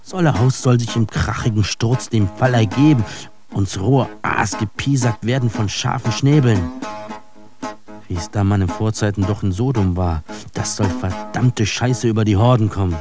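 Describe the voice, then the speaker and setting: An actor playing an embittered, disillusioned fighter in a radio drama monologue, declaiming and cursing in coarse words. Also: male voice, lowish voice, normal speed, music in the background, natural voice. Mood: bitter, cursing.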